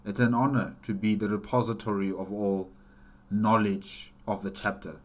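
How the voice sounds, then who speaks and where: A man's voice: fairly low pitch, level tone, moderate pace. A man hosting a reaction video, commenting casually and emphatically, repeating phrases for stress.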